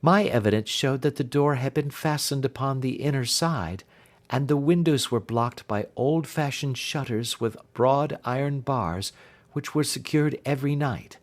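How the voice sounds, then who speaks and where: Man reading as a distressed young Victorian gentlewoman. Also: medium pitch, unhurried, natural voice. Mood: earnest, troubled. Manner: earnest, formal Victorian phrasing. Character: Helen, distressed young gentlewoman recounting her sister's death.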